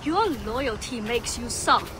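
A woman's voice: on the high side, animated, takes it slowly. An actress playing a ruthless, commanding female pirate in a video game, goading and contemptuous. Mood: scornful. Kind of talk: video game drama acting. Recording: music behind. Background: music.

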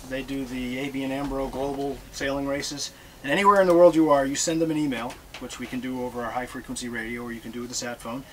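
A middle-aged man giving a relaxed, joking talk about sailing to an audience, standing aboard a catamaran in a marina with notes in hand. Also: medium pitch, a little hiss or hum, low-quality recording. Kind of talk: casual presentation to an audience. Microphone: far camera.

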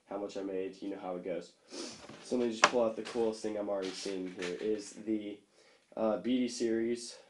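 A man's voice: lowish voice, a little mellow. A young man hosting a fishing channel, casually reviewing lures at a table and rambling with lots of fillers and restarts. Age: young adult. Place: small room indoors, seated at a table. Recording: roomy echo, faint background noise.

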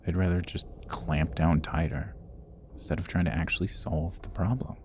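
A man's voice: warm tone, slight background noise. A man playing a sardonic jailed thief in an ASMR fantasy roleplay, wry and teasing, with whispered asides. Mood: bitter, sardonic. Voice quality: very deep, gravelly. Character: roguish, sardonic male thief jailed in a dungeon. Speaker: voice actor.

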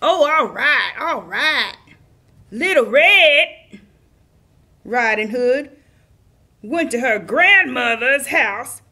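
A woman's voice: high voice, expressive, extremely slow, pauses often, sharp tone, exaggerated voice. A woman voicing a silly, muddled, cheerful puppet wolf who tells children stories in a scatterbrained, singsong way. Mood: goofy, cheerful. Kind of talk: children's puppet theater storytelling.

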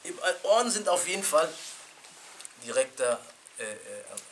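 A middle-aged man teaching an informal religious lesson in a small room, animated and chatty, laughing with his students and restarting his sentences. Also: fairly high pitch, normal speed, a little hiss or hum. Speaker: sheikh teaching a Quran class. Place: small indoor classroom.

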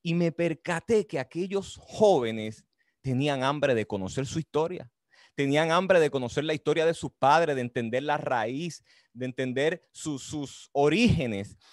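A man's voice: fairly high pitch, measured pace, no room sound. Middle-aged Caribbean Spanish man, dry sound. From Dominican Republic. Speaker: author and history teacher presenting his book. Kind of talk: book talk, explanatory storytelling. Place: indoor room with bookshelves, on a video call. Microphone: video call.

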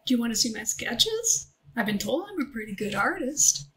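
A woman's voice: on the high side, sing-song, normal speed, rough recording. A woman playing a brash, impatient young woman in audiobook dialogue, casual and streetwise. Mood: casual, coaxing.

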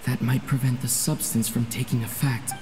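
Female gamer reading on-screen dialogue, mellow and relaxed tone, faint music underneath. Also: rumbling low, normal speed. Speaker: game player reading dialogue choices aloud. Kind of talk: reading on-screen game text aloud.

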